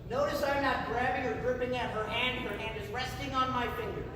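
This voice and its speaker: A man's voice: extremely high pitch, fairly even intonation, brisk. A man's voice rings from far off across a heavily echoing, noisy stage. He works as a live show host, delivering comic patter in a grandiose, theatrical way, teasing as he goes. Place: live festival performance before an audience.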